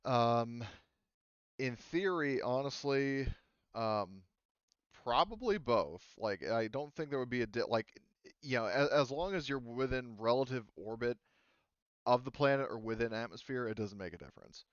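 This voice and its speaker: A man's voice: medium pitch, expressive, normal speed, smooth, no room sound, lo-fi sound. A man speaking casually as a game master, explaining rules and settings in a hesitant, rambling, easygoing way.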